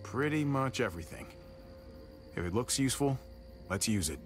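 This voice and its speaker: An actor playing a calm, reassuring man in his thirties, a protective survivor in a zombie video game. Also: mid-range voice, moderate pace, lightly acted. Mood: casual, practical. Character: steady, protective young uncle surviving a zombie apocalypse. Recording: faint music underneath.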